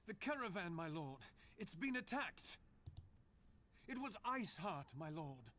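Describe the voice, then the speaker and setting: A man's voice: high-pitched, very animated, measured pace, pushed and bright, slight background noise, heavily acted. An actor playing an alarmed knight messenger in a fantasy game, urgent and deferential toward his lord. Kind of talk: scripted fantasy game dialogue.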